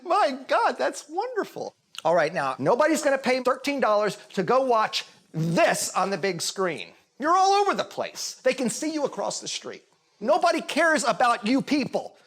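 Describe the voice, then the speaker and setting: A man acting as a cocky male acting coach in a mockumentary comedy. His pitch swings widely as he rambles on, brash and sarcastic, belittling whoever is listening. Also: fairly bright tone, exaggerated voice. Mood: mocking. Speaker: acting teacher.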